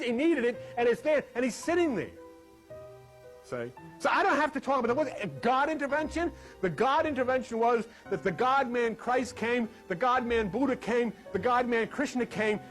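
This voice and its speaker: High-pitched man teaching meditation over music. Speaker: spiritual teacher. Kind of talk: talk on meditation and scripture. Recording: music laid under the speech.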